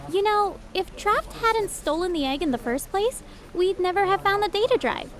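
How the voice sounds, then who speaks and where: A woman playing an excitable, earnest young rookie policewoman in an audio drama. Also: very high-pitched, normal speed, crowd noise. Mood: curious.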